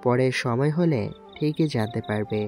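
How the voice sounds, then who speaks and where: A man playing a composed, duty-bound navy commander in an audio drama, speaking in a measured, authoritative, reassuring way. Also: medium pitch, a little mellow, natural voice. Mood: calm, guarded. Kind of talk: Bengali audio story acting. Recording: music bed under the voice.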